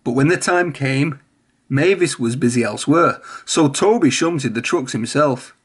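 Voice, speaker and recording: Husky man reading a children's story. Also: mid-range voice, unhurried. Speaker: storybook reader. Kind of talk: children's read-aloud narration.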